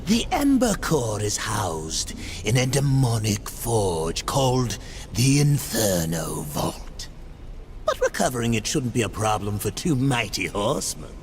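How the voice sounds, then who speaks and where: A sly demon merchant in a video game, courtly and scheming. He draws out his flattering, ornate phrases slowly, with swooping intonation, over clear background noise. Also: medium pitch. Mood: oily flattery. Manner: unctuous, formal, deliberate, ornate speech. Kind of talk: video game cutscene acting.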